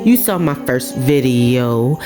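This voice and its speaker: A woman rapping over a beat with a slow, low, steady spoken-word delivery. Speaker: rapper. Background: clear backing music.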